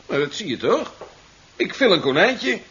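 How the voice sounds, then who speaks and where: An actor playing a brisk, jovial, slightly eccentric doctor-scientist in a 1970s radio play, hearty one moment and muttering to himself the next. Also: male voice, sits high, big pitch swings, a bit bright. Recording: muffled 1970s radio recording with hiss.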